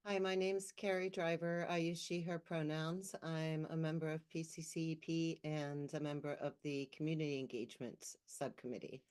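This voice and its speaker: A soft-spoken woman committee member introduces herself and passes the turn on at an online meeting. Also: sits low, flat delivery, normal speed, hardly any pauses, clear, resonant tone.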